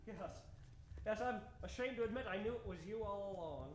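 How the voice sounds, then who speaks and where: A man playing a pompous, ardent gentleman doctor in a melodramatic old-time radio adventure parody. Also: high-pitched, brisk, reverberant, lightly acted. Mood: suave, sheepish. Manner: melodramatic, declamatory.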